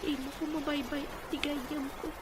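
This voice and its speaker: A woman's voice: high voice, level tone, brisk, lightly acted. A woman playing a poor, humble orphaned young woman in a sentimental audio drama, earnest and plaintive. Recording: noticeable background noise.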